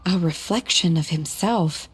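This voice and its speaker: A woman playing a solemn, reserved young exorcist in a video game, breathy, measured and literal. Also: lowish voice, slight background noise, lightly acted. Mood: pensive.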